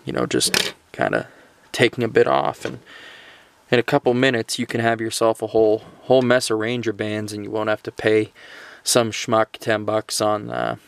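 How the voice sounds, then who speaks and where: A man hosting a casual, unscripted product review video, talking in a halting way with pauses mid-phrase. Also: on the low side, little pitch movement, creaky, a bit bright, slight background noise.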